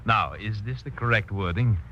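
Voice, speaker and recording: Low, formal clerk played by a man, muffled recording. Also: lightly acted. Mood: polite, formal. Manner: measured, formal, precise. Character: formal, obliging male newspaper clerk. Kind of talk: 1940s radio acting. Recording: dull, noisy old radio recording.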